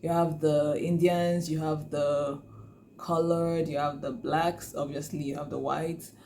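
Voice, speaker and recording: A woman's voice: low-pitched, level tone, a little slow, warm tone, slight background noise. A Nigerian woman talking casually to her viewers, chatty and laughing often, with many fillers. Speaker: vlogger. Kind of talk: casual talk to camera.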